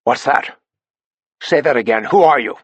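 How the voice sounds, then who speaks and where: A man's voice: medium pitch, normal speed, slightly rough, no room sound, lo-fi sound, natural voice. A man doing a weary aristocratic agent.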